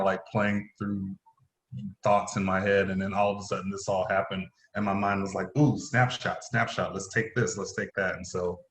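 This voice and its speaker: Male, low-pitched, casual conversational storyteller, roomy echoey recording. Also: fairly bright tone. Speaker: playwright, director and acting teacher. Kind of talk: casual conversational account of creative work.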